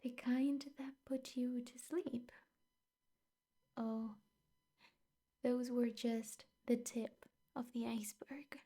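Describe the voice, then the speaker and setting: Woman performing as obsessive yandere girlfriend, ASMR roleplay, soft mellow voice, frequent whispers, unhurried, doting yet menacing. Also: on the high side, steady tone. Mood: softly menacing. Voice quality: soft, breathy, often whispering. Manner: slow, coaxing, doting with menace underneath. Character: possessive, sweetly obsessive yandere teacher girlfriend holding a captive. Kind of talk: ASMR roleplay, intimate scripted monologue.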